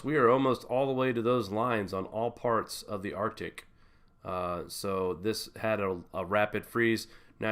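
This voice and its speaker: A man's voice: on the low side, fairly bright tone, clear, resonant tone. A man hosting a live news stream, talking viewers through a weather forecast in a folksy way. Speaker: host.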